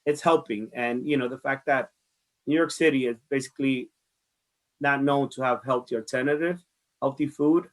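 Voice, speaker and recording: Male market manager, Dominican accent, earnest interviewee, mid-pitched voice, conversational delivery. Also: normal speed, poor audio. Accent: Dominican Spanish. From Dominican Republic. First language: Spanish. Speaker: farmers market director being interviewed.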